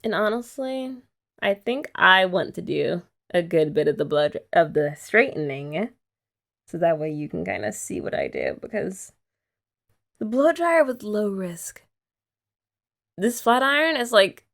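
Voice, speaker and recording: A woman's voice: mid-range voice, expressive, unhurried, creaky. A young woman chatting playfully and teasingly in a girlfriend roleplay ASMR. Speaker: ASMR creator.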